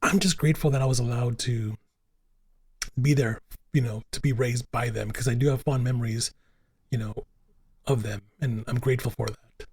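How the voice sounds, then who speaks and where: A man's voice: medium pitch, steady tone, normal speed, a little mellow, husky. A man whose first languages were Italian and Spanish, talking earnestly on a podcast and repeating himself for emphasis. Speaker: podcast co-host. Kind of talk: casual podcast chat.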